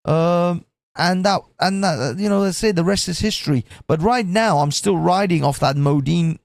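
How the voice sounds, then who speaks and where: A man hosting a livestream, with a croaky voice, swearing and rambling with many restarts. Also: high voice, normal speed, no echo. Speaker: livestream host. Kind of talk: casual livestream chat.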